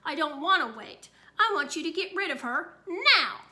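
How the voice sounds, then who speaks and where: Woman doing a bratty little boy, whiny and singsongy, real high and bouncy. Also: very animated, moderate pace, sharp tone, exaggerated voice. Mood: playful. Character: mischievous little boy, jealous and stubborn.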